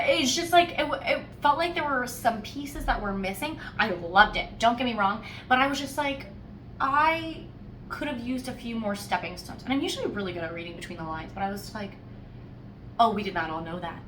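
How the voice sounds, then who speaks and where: A woman's voice: fairly high pitch, normal speed. Chatty woman in an echoey room. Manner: animated storytelling with playful, wry emphasis. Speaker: host of a book discussion podcast. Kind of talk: casual, chatty book review. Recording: roomy echo, distant mic, faint noise.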